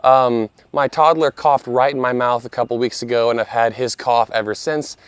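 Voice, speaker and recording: A man in his thirties talks straight to the listener, his voice a touch hoarse from a cough he hasn't shaken. His pitch sits in an ordinary middle range, and the close, dry sound leaves no room echo. He keeps it earnest and conversational, with a playful aside now and then. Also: little pitch movement, moderate pace. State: slightly hoarse from a lingering cough. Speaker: video host, Bible commentator. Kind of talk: casual scripted commentary.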